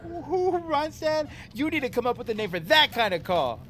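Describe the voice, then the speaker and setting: A man's voice: very high voice, big pitch swings, moderate pace, a bit bright, a little hiss or hum, full character voice. A man playing a concerned, casual co-worker in an audio drama. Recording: long reverb, music underneath.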